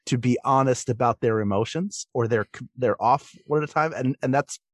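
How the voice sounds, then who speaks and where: A man with a mid-pitched voice talks as a podcast guest in a dry, close recording. He speaks casually and analytically, earnest and emphatic as he makes his case. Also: brisk, no room sound.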